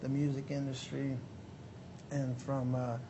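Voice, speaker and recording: Male guest from Mexico, 30s, earnest speaker, middle pitch, faint rumble. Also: monotone, unhurried. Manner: earnest, lots of "you know" fillers, polite "yes sir". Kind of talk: interview answers.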